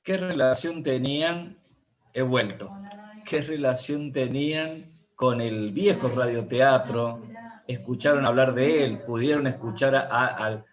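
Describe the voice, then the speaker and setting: A man with a medium-pitched voice conducts an interview. He asks in a conversational, rambling way, circling back with more questions. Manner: rambling, inquisitive.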